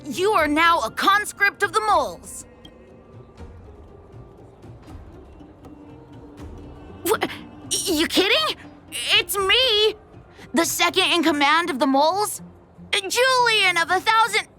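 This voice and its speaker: A woman's voice: extremely high pitch, expressive, a little slow, sharp tone, soft background music, heavily acted. A woman playing a bossy, worried young boy who leads a kids' gang in a video game, talking brashly. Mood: brash, indignant. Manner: brash, emphatic, childlike. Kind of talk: English video game voice acting.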